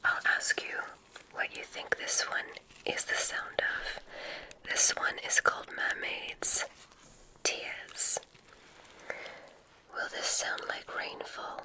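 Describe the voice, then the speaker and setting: A woman whispering breathily in an ASMR roleplay as a kindly witch examiner, soft and gently reassuring. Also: slow pace, long pauses, low-quality recording.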